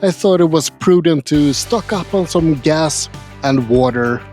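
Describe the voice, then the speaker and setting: A man's voice: on the high side, a little slow, darkish tone, faint music behind. A man from Sweden, narrating his travel vlog in a dry, wry and grumpy humor. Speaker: travel vlogger.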